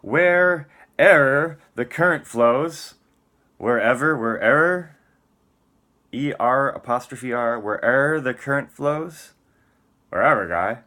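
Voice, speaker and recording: Man, mid-pitched voice, very animated melody, theatrical poetry-style reading, emphatic phrases, muttered offhand asides. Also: takes it slowly. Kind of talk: dramatic spoken-word reading of texts and lyrics with casual asides.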